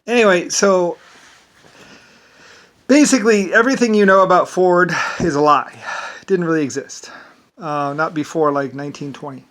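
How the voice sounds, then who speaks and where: A man's voice: high-pitched, moderate pace, long pauses, fairly bright tone. An American man with an Irish-sounding lilt, talking in a rambling, meandering casual monologue. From United States. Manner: rambling, meandering, leans on "basically".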